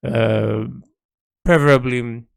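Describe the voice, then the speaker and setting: Male, creaky low-fry delivery, dry close recording; reflective, halting audio-log narration by an artist. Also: fairly even intonation, dry recording. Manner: hesitant, thinking aloud. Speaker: illustrator keeping an audio log. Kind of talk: reflective unscripted audio diary.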